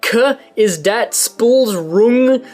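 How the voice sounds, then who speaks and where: A woman's voice: fairly high pitch, animated, drawn-out words, a little mellow, faint music behind, heavily acted. Woman playing a moody giant groundskeeper guy, overwrought, dramatic, comedy fanfic reading. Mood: melodramatic.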